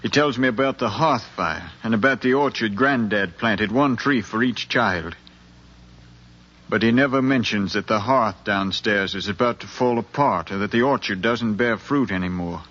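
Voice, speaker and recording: Earnest pleading grown son, american, impassioned 1950s radio drama delivery, muffled old recording. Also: male voice, lowish voice, a bit bright. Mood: persuasive, grave. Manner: impassioned, deliberate, speechlike with repeated phrases. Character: earnest, insistent grown son pleading with his aging mother.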